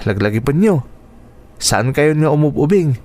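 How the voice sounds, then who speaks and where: A man's voice: a little high, animated, moderate pace, a little mellow, close to an everyday voice. A man playing a caring young nephew in a radio drama, earnest, concerned and respectful.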